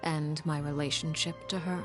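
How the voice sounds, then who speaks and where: A woman voicing a cool, calculating young woman of power in a video game, with a measured, formal and condescending manner. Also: deep voice, steady tone, music in the background, close to an everyday voice. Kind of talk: video game character dialogue.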